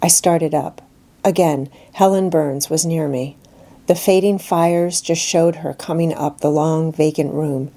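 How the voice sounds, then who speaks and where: A woman's voice: deep voice, fairly even intonation, unhurried, mellow. A woman reading a classic novel aloud as scripted literary narration. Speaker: audiobook narrator.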